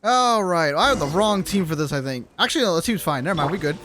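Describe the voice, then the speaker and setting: A streamer giving casual live gameplay commentary, slangy and sweary, thinking out loud. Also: medium pitch, animated, fast talker, over music. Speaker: video game streamer. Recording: noisy, clicks behind the voice.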